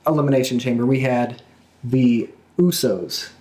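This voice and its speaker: A man's voice: mid-range voice, normal speed, mellow, echoey room. A man hosting a casual wrestling podcast, chatting with co-hosts in conversational style. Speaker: podcast host.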